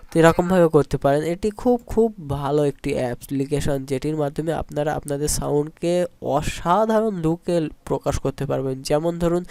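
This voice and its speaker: A man's voice: a little high, darkish tone, clean tone. A man giving a spoken step-by-step app tutorial in an instructional way, often repeating his phrases. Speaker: tech tutorial host.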